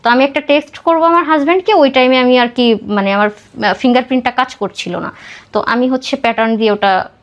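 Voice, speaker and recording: A woman's voice: high-pitched, fast talker, lo-fi sound. A woman with a Bangladeshi accent telling a personal life story as a podcast guest, dropping English words into her talk.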